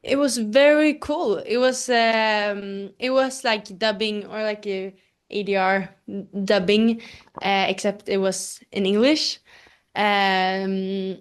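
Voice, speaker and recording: A young actress from Sweden answering interview questions hesitantly, with many fillers. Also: female voice, mid-range voice, slow pace, smooth. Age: young adult. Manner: hesitant, lots of fillers, laughs easily. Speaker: actress guest.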